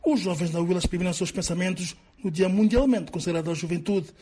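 A man's voice: high-pitched, steady tone, fast, mellow, slight background noise. A man reading a radio news report.

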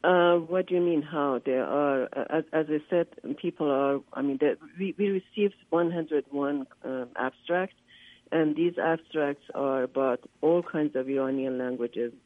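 A man whose first language is Persian, a linguist giving a radio interview by phone, often pausing to search for words. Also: a little high, no room sound. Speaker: linguist and conference organizer, interview guest. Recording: tinny, dry phone line.

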